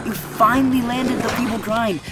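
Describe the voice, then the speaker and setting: An actress playing an earnest, encouraging young best friend who is easily alarmed, in an audio drama. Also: female voice, fairly high pitch, fairly even intonation, normal speed, natural voice. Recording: music behind the voice.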